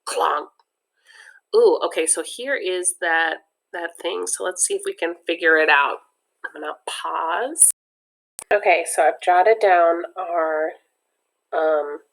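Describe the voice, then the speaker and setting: A woman reading a children's book aloud as a teacher, expressive and lively, stopping to explain words to young listeners. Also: low voice, low-quality recording.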